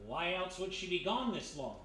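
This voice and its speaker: A man acts an intense, hot-headed detective in an old-time radio mystery. His voice is high, bright and forceful, ringing in an echoey room with faint noise. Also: big pitch swings, normal speed. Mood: worried. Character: anxious, hot-headed male private detective, former thief. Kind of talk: 1940s radio mystery acting, live on stage.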